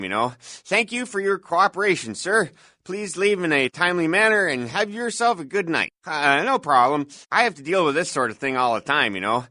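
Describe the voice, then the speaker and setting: Pompous, animated security guard. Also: male voice, high-pitched, dry recording, studio quality, full character voice. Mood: casually polite. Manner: pompous, officious, hesitant filler-laden patter. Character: self-important, bumbling, folksy male night-shift security guard. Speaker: security guard character in a video game. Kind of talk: scripted video game voice lines.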